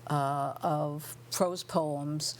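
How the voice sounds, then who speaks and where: A woman talking as an interview guest, thoughtful and unhurried, with many pauses and fillers. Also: deep voice, fairly even intonation, slight background noise. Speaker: guest artist and writer.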